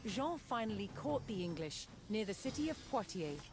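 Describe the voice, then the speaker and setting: A woman narrates a scripted history documentary over prominent, loud music. Her mid-pitched voice carries a measured, dramatic storytelling delivery. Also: sing-song. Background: loud background music.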